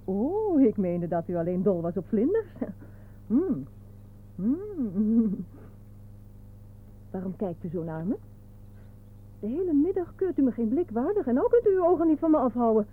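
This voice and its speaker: A coy, fretful spinster with a high, very animated voice. She speaks in theatrical radio-play style, flustered and indignant, on a narrow, tinny old recording. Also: a little slow, pauses often. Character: coy, gullible, fretful office spinster on an outing.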